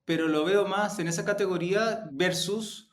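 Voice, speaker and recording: A man's voice: high voice, normal speed. Chilean man answering in an echoey room. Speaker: interview guest, podcast company executive. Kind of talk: spontaneous interview answers. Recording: roomy, echoing sound.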